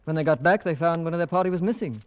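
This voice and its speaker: A man's voice: fairly high pitch, racing, clear, resonant tone, natural voice. A man acting a pleasant young English husband, doting, wry and a little uneasy, in an old radio drama. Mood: dismissive. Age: young adult. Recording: dull old radio recording.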